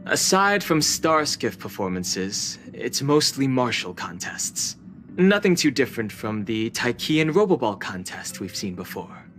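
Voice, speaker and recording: A calm, matter-of-fact young man. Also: mid-range voice, a little slow. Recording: game cutscene with faint music.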